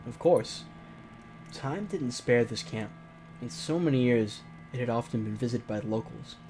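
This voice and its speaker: A man's voice: medium pitch, animated, normal speed, a little mellow, faint music behind, poor audio. A man hosting a let's play, reading a visual novel aloud theatrically and breaking off into mocking, exclaimed asides. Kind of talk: narrated game let's play with joking asides.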